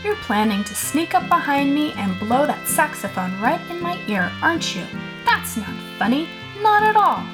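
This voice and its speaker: Storybook bus driver, male role read by a woman: jokey, boastful yet fretful, highly animated, music behind. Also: normal speed, poor audio. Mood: suspicious. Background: music with plucked guitar.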